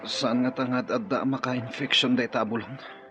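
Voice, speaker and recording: A man's voice: mid-range voice, steady tone, moderate pace, music in the background, close to an everyday voice. A man playing a wounded, hungry castaway in a radio drama, worried and full of doubtful questions. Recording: dull lo-fi radio drama audio.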